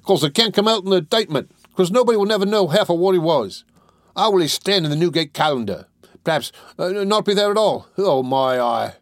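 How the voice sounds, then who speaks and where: A man voicing an excitable, cheeky young male pickpocket in a storybook reading, emphatic and slangy. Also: brisk, no echo, studio quality, natural voice. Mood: aggrieved. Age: young adult. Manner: high, emphatic, slangy complaining and exclaiming.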